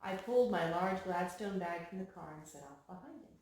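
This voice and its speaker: A woman reading a picture book aloud to young children, pausing to explain things to them. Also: lowish voice, darkish tone. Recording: very echoey room with some noise.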